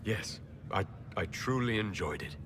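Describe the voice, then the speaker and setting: An actor playing a composed, good-humoured heroic leader in a video game, polite and gently dry. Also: male voice, lowish voice, expressive, a little slow, noisy background. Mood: delighted. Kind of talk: video game cutscene acting.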